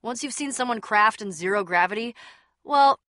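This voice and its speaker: A woman's voice: fairly high pitch, animated, moderate pace, fairly bright tone, no echo, clean studio sound. An actress playing a bold, sardonic young woman adventurer in a video game, with a wry, punchy, casual delivery. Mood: casual, reassuring.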